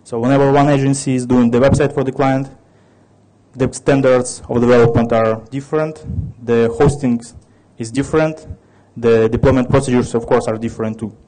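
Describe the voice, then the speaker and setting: A man's voice: mid-range voice, steady tone, normal speed, mellow, rough recording. A man in his thirties from Ukraine with a Russian accent, giving a technical conference talk with many restarts and fillers. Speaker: conference speaker.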